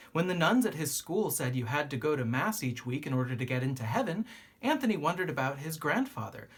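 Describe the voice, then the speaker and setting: Storybook narrator for young listeners: lively, swooping pitch, lightly husky tone, teacherly read-aloud delivery. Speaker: teacher reading a picture book aloud.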